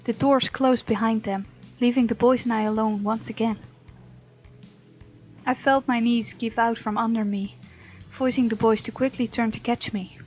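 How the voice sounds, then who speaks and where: A woman's voice: fairly high pitch, steady tone, moderate pace, darkish tone, poor audio. A woman let's-play host reading visual novel narration aloud expressively, with informal asides. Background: faint game music.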